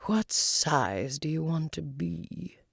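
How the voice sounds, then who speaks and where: A woman voicing a haughty, testy storybook caterpillar, speaking slowly and emphatically in a curt, languid way. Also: sits low, animated, creaky, breathy edge, no room sound, studio quality, full character voice. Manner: slow, drawn-out, emphatic and curt.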